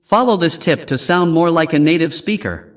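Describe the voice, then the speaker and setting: A man narrating a language lesson in a slow, clear, announcer-like way. His voice sits a little high and swings widely in pitch. A faint hiss runs underneath. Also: animated. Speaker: English teacher host.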